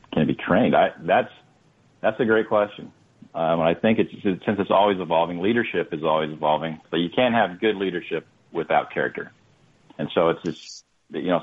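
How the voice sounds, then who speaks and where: A man co-hosting a radio talk show, agreeable and a bit halting. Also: low voice, husky, narrow, tinny audio. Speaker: radio co-host.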